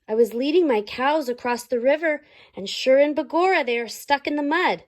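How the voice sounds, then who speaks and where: A woman's voice: high voice, lively intonation, normal speed, darkish tone, pitched above the performer's own voice. A woman voicing a frantic little bearded storybook man who pleads urgently and politely for help. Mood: frantic pleading. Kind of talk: storybook character voice.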